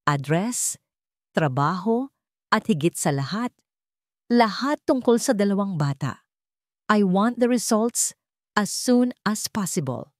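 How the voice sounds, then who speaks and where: A woman's voice: medium pitch, lively intonation, slow pace, pauses often, no echo, studio quality. A woman voicing a determined young wealthy businessman in a story, terse and businesslike as he gives orders. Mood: urgent, determined.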